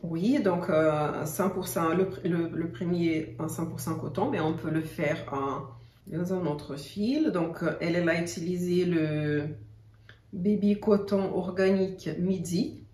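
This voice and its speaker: A woman whose first language is Portuguese, chatting casually about her knitting projects with many pauses and restarts. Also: on the low side, darkish tone. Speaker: knitting podcaster. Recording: echoey room, mic at a distance.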